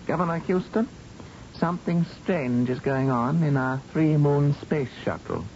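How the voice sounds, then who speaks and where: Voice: medium pitch, animated, measured pace, darkish tone. An actor playing a worried space flight controller in an old-time radio drama. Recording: muffled old radio drama, faint hiss.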